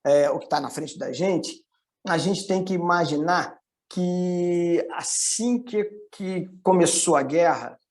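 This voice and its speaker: A middle-aged man with a Carioca Rio accent, an economist giving an informal, explanatory presentation with hesitant pauses. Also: high voice. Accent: Carioca (Rio de Janeiro) Brazilian. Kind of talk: informal online presentation with slides.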